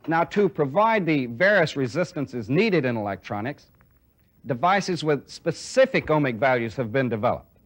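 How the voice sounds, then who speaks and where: Methodical American man teaching, higher-pitched voice. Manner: methodical, deliberate, repeats key points for emphasis. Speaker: military electronics instructor. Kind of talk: demonstrative technical lecture. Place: indoor training film classroom studio with chalkboard and desk.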